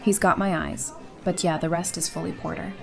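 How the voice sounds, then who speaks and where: A woman playing a warm, blunt and teasing young mother in a conversational audio drama. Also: on the low side, fairly even intonation, fairly quick, gravelly, music in the background. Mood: affectionate.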